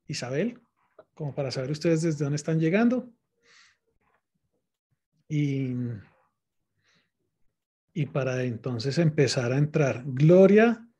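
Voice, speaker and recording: A man's voice: a little high, a little mellow. A middle-aged man with a Colombian accent, born in Mexico, hosting an online talk and greeting each participant by name over a headset. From born in Mexico, raised in Colombia. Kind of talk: relaxed video-call welcome. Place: home room with closed blinds. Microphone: headset on a video call. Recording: muffled video-call audio.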